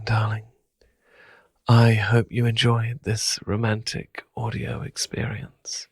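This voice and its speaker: Husky whispering man, dry close sound. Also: medium pitch, dry recording. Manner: soft, intimate whisper. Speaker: ASMR channel host. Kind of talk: whispered channel outro.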